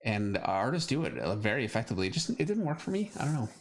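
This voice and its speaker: A man's voice: medium pitch, big pitch swings, quick pace. A Canadian man talks through his drawing on a live stream in a loose, rambling way, with plenty of fillers and restarts. A faint hiss of noise sits behind his voice. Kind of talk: casual chat while drawing.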